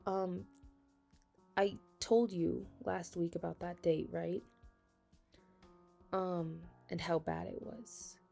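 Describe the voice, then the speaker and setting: Girl acting as flustered best friend w a secret crush, mellow creaky voice, asmr roleplay, halting, soft music behind. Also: fairly low pitch, fairly even intonation, heavily acted. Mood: shy, confessional. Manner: hesitant, halting, breaking into nervous laughs. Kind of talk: ASMR romantic roleplay, intimate conversational acting.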